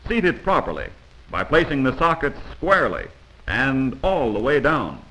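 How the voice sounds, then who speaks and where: A man's voice: medium pitch, sing-song, normal speed, sharp tone, rough recording. A man narrating a 1940s instructional film from a script, in an instructive, emphatic manner on a vintage film soundtrack.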